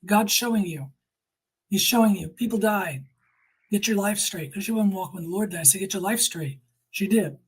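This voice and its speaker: A woman's voice: medium pitch, normal speed, breathy edge, poor audio. A middle-aged woman giving earnest, emphatic personal testimony in conversation, quoting people as she tells her story.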